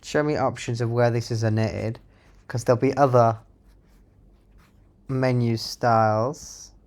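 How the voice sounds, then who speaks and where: A man's voice: medium pitch, steady tone, unhurried, a little mellow. Man casually thinking aloud as he codes. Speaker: software developer presenting own project. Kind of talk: casual live-coding narration, thinking aloud.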